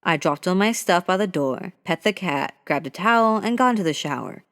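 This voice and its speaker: A narrator reading a scary story aloud in a steady, measured way. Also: mid-range voice. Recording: voice with long hall reverb.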